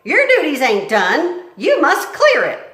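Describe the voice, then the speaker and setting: A woman voicing a harsh, bossy female cook who barks orders in rough country speech. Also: high voice, huge pitch swings, slow, a bit bright, reverberant, voice pushed up, heavily acted.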